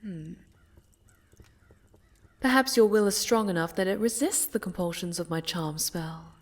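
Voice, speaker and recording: A woman playing a haughty, sinister necromancer villainess in a soft-spoken ASMR roleplay, silky, condescending and mockingly sweet, often sinking to a whisper. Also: on the low side, lively intonation. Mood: sly, curious. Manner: condescending, silky, menacing, drawn-out.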